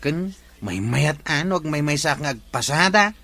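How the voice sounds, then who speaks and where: A man's voice: a little high, expressive, moderate pace, sharp tone. A man acting a plain-spoken, grumbling village man in a comedy radio drama, with a Filipino accent. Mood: conversational.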